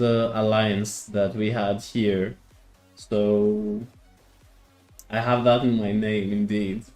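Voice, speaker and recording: A man's voice: on the low side, mellow. A man from Greece streaming a strategy game, chatting casually with viewers and often repeating his words as he thinks. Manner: hesitant, repeats words, answers chat. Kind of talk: casual live-stream chat.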